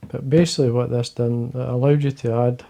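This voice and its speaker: A man with a Scottish accent, talking quietly and casually to camera from his fly-tying desk, rambling with fillers and restarts. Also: medium pitch, little pitch movement, fairly quick, vocal fry. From Scotland. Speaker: fly-fishing video host.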